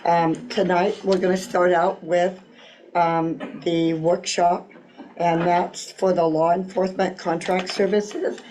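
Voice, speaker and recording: A meeting chair who has lost their voice, speaking softly and haltingly while opening a public meeting in a council chamber. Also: medium pitch, level tone, slight background noise, rough recording.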